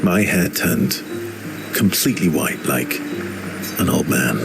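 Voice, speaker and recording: A man's voice: low-pitched, expressive, slow pace, vocal fry, exaggerated voice. An actor playing a mysterious, playful and self-deprecating man, hesitant and bantering, in a naturalistic video game cutscene style. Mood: playful, mock-offended. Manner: hesitant, bantering, mock-offended. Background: music.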